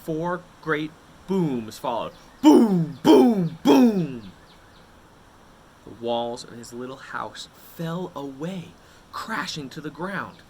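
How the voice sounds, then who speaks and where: A man reading a children's picture book aloud expressively, with dramatic drawn-out words and suspenseful pauses. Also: on the high side, big pitch swings, warm tone, slight background noise.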